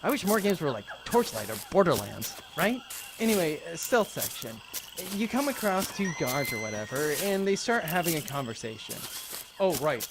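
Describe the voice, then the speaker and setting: Exasperated gamer geek, male actor: casual rambling rant, high-ish and very animated, comedy audio drama, noisy background. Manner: casual, ranting, profane. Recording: clear background noise.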